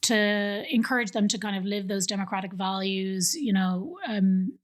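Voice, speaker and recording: A woman's voice: medium pitch, normal speed. Irish woman, middle-aged, podcast guest; explanatory, hesitant phrasing, close stand mic in a homey room. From Ireland. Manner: explanatory, many fillers and restarts. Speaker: guest, think tank director. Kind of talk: conversational interview. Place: living room studio with plants and books. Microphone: studio mic on stand.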